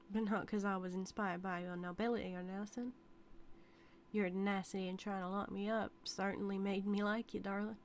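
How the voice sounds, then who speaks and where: A woman's voice: mid-range voice, natural voice. Woman playing a teasing, obsessive cowgirl, noisy backdrop. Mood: coy, teasing. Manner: drawling folksy Western talk, teasing, calls listener darling. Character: obsessive, teasing, dominant outlaw cowgirl, sweetly menacing. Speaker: audio roleplay performer. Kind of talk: dramatic audio roleplay monologue to the listener.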